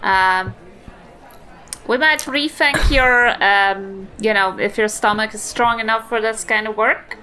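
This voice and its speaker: A woman's voice: on the high side, slow pace, sharp tone. A woman playing a kindly, fussing old granny adventurer in a tabletop game. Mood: fussing, teasing.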